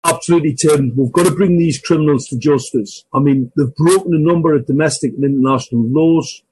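A man talking unscripted as an interview guest, halting, repetitive and insistent. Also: on the high side, darkish tone, low-quality recording. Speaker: guest campaigner. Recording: clear background noise.

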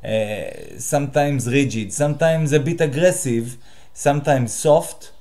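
A man from Israel with a Hebrew accent, a native Hebrew speaker hosting his own channel and talking to the camera in a direct, halting way with many fillers. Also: mid-range voice, sing-song, darkish tone. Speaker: channel host. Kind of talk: solo talk to camera.

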